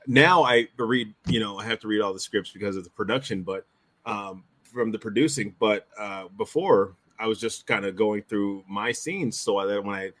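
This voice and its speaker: A man with a fairly low voice chats casually as a director on a podcast. He talks haltingly, circling back over his phrases. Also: fairly bright tone. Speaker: director, show regular. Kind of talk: casual chat.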